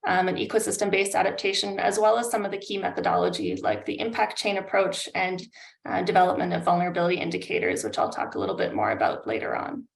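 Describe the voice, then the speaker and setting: A woman's voice: on the low side, big pitch swings, fast, gravelly. A Canadian-accented woman in her thirties giving an informal, friendly professional slide presentation over a video call. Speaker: consultant presenting a webinar. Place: indoor room on a video call. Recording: roomy video-call audio.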